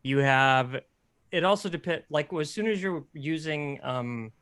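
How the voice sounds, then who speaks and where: A man with a high speaking voice, casually coaching others on singing in a group chat. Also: unhurried, smooth. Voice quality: self-described high voice. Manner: animated, explains with sung examples. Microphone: close mic.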